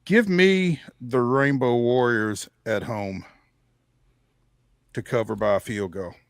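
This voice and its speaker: Man, sports show co-host, casual game talk, measured and halting, medium pitch, dry recording. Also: vocal fry, no room sound.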